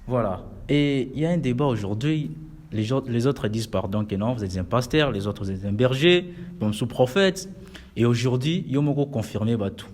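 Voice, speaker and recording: A man's voice: mid-range voice, moderate pace, a little mellow. A man from Congo hosting a web TV interview in a church, talking with emphasis and switching between French and Lingala. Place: inside a church.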